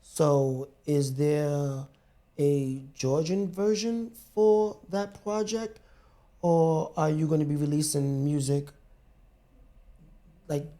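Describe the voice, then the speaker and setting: Mellow, chatty male talk-show host. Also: on the high side, little pitch movement, slow pace, long pauses. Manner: colloquial, chatty, rambling questions. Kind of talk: casual interview chat.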